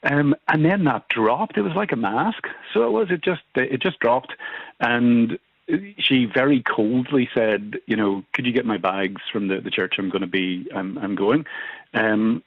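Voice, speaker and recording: A man's voice: mid-range voice, unhurried, no room sound. A man, a church minister, recounting events as a radio interview guest in a hesitant way, repeating his words. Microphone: phone line. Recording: tinny dry phone line.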